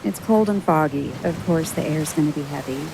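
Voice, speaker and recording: A woman acting in an audio drama as a dry, teasing travel companion with a creaky voice and deadpan delivery. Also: low-pitched, fairly quick. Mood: wry. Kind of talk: audio drama dialogue, naturalistic. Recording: steady sea and wave noise behind.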